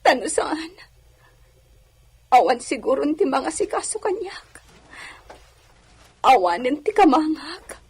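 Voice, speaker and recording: A high, soft-hearted young lady of a villa, played by a woman, speaks in a plaintive, sighing way. She is a gentle, mistreated figure from a radio drama. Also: big pitch swings, takes it slowly, pauses often, full character voice. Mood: hurt, tearful.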